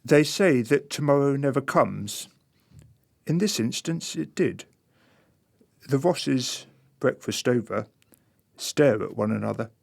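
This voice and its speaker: A man narrating a radio play in a measured, wry storytelling manner. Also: mid-range voice, animated, vocal fry, darkish tone, exaggerated voice.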